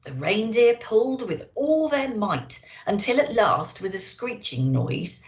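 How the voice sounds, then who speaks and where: A woman's voice: medium pitch, roomy sound. A woman reading a children's storybook expressively as a Christmas elf storyteller, with dramatic emphasis.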